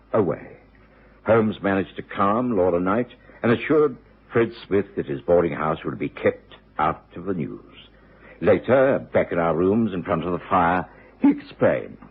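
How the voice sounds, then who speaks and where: An actor playing an earnest gentlemanly detective's companion, narrating a radio drama in the first person. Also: male voice, sits low, husky, full character voice. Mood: measured. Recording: muffled old radio recording, music behind.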